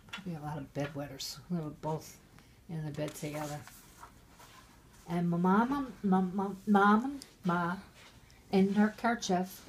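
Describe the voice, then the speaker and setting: A woman's voice: lowish voice, slight background noise, low-quality recording. A middle-aged woman, very drunk, reading a Christmas storybook poem aloud, stumbling over words and breaking off into irreverent asides. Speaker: mother reading a Christmas poem to her family.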